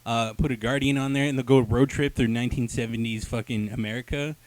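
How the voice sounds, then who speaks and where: A man's voice: mid-range voice, fairly even intonation, quick pace, no echo. A man co-hosting a casual comic book review show, talking in a jokey, slangy way with plenty of swearing. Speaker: podcast co-host and comics reviewer.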